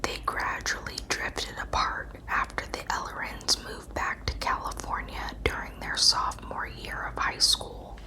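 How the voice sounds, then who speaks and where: A woman narrating a scripted true crime story as whispered ASMR, in a measured storytelling delivery. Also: low-quality recording.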